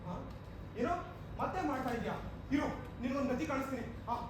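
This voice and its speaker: A man's voice: extremely high pitch, animated, a little fast. A man on stage playing a hostile village bigot, with a scolding, commanding manner. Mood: scornful, threatening. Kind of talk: amateur stage play dialogue. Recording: very echoey hall, distant mic, noisy.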